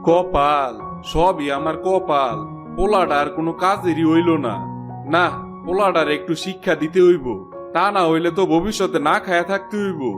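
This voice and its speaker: High-pitched worried farmer father acted by a man. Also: steady tone, normal speed, faint music behind, lightly acted. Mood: lamenting. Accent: eastern Bengali. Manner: lamenting. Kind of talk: cartoon folk-tale voice acting.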